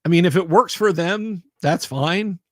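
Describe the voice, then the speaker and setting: A man's voice: high-pitched, measured pace, a bit bright, dry recording, clean studio sound. A man hosting a casual livestream, rambling, repeating his words and making sarcastic asides while reading viewer comments. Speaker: livestream host.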